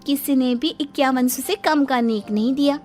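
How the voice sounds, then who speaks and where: Woman acting high-pitched whiny young bride. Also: moderate pace, darkish tone, soft background music, close to an everyday voice. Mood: gleeful, scheming. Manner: complaining, dramatic. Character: selfish, whiny young newlywed daughter-in-law. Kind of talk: Hindi cartoon story dialogue.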